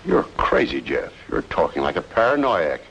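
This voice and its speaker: An actor playing a soothing, persuasive older friend with a gravelly voice in an old radio drama, uneasy underneath. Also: male voice, low-pitched, very animated, normal speed, fairly bright tone, heavily acted. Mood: alarmed. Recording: dull, noisy old radio recording.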